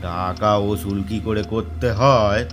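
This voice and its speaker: Cruel, domineering horror-cartoon moneylender, played by a man: taunting, rather low, very slow delivery. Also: fairly even intonation, over music. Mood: mocking, menacing. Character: cruel, mocking village moneylender demanding repayment. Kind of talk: animated horror cartoon dialogue.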